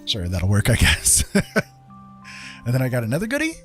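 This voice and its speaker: A man's voice: mid-range voice, huge pitch swings, moderate pace, a bit bright. A man hosting a casual game livestream, rambling and thinking aloud as he chats with viewers. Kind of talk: casual livestream chat while playing. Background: game music.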